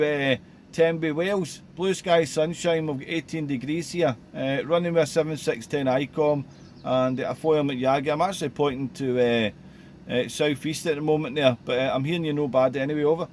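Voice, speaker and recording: A man's voice: medium pitch, a little mellow, slight background noise. A man from Glasgow chatting casually on a home radio station by the sea, friendly, with many filler sounds. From Scotland. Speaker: radio amateur.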